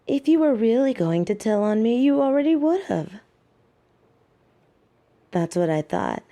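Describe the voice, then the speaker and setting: A woman playing a flirty, teasing catgirl thief in a roleplay audio drama, coy and seductive with playfully drawn-out words. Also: fairly high pitch, big pitch swings, dark tone.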